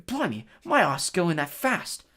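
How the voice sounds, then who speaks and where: A reader voicing Stan, a chatty young male bus conductor who gossips loudly in working-class London speech. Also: mid-range voice, sing-song, measured pace, voice pushed up. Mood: excited gossip.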